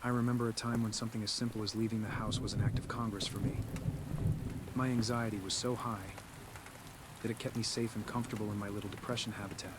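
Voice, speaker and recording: Guy acting anxious inward-looking game hero, reflective monologue, slightly low voice, rain going in the background. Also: little pitch movement, fast talker, natural voice. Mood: wistful, fond. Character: Adam, anxious introspective man narrating his troubled life. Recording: clear rain noise behind.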